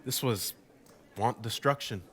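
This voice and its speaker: Actor as wry husband, sardonic, self-deprecating, conversational, radio drama interviewee. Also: male voice, medium pitch, measured pace, a bit bright, natural voice. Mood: scornful. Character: wry, indignant husband recounting blackout night, interviewee.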